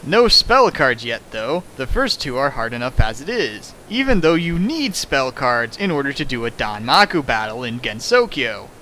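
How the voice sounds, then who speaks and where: A man's voice: mid-range voice, big pitch swings, normal speed, few pauses, pushed and bright, slight background noise. A man casually riffing on a text he reads aloud as host, in a sarcastic, mocking manner. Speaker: host of a fan fiction dramatic reading.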